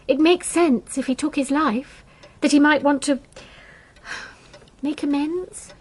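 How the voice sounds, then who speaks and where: An actress playing a kindly, earnest, self-doubting English vicar's wife in a radio drama, polite and a little hesitant. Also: female voice, sits high, slow pace, a little mellow. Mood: polite, helpful. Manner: polite, hesitant, well-meaning.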